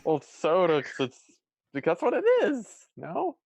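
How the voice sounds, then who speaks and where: A man's voice: sits high, sing-song, normal speed, vocal fry, a little mellow, slightly rough, no room sound. Man with a Central Eastern Pennsylvania accent who rambles with lots of "like"; dry, close recording. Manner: rambling, heavy use of "like". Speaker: panelist. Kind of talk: casual panel chat.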